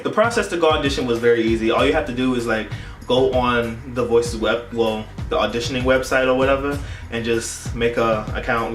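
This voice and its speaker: The recording is echoey, with music behind the voice. A young man speaks at a middling pitch. He tells his story casually and dramatically, with playful, slangy turns of phrase, as a vlogger would. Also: a bit bright. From United States. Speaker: YouTube vlogger. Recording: roomy echo, distant mic, music behind.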